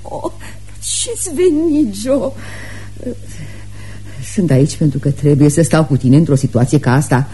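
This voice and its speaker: Husky, animated, domineering mother. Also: deep voice, pauses often. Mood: defensive. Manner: theatrical, haughty, fussing. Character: brash, domineering middle-aged mother, fussy and superior. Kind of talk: radio theatre acting.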